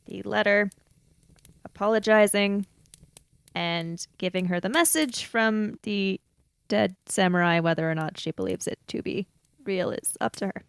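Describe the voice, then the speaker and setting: A woman's voice: mid-range voice, unhurried, creaky, smooth, no echo. A woman playing a tabletop roleplaying game, chatting casually and describing what her character does.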